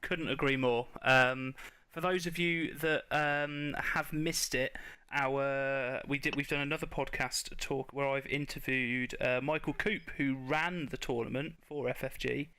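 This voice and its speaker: A man's voice: mid-range voice, steady tone, a little slow, bright, forceful tone, clean tone. A man hosting a casual interview podcast in an informal, chatty and agreeable way.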